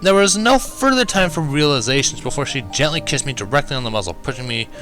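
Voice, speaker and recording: A man reading fan fiction aloud as an animated first-person narrator. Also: medium pitch, fast talker, faint music behind.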